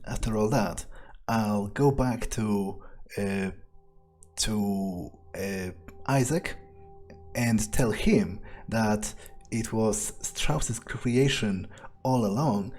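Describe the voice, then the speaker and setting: Slow, hesitant voice musing as a scheming vampire. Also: mid-range voice, mellow, husky. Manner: hesitant, musing, casually profane. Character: scheming, amoral vampire musing on own plans. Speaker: player narrating a roleplay game playthrough in character. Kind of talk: unscripted in-character monologue, thinking aloud.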